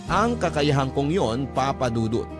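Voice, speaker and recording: A man's voice: medium pitch, lively intonation, normal speed, over music, natural voice. A man playing a remorseful young lover, narrating his secrets in a scripted first-person radio drama. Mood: confessional, reflective. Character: remorseful young man confessing a double love life. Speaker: letter-sender narrator.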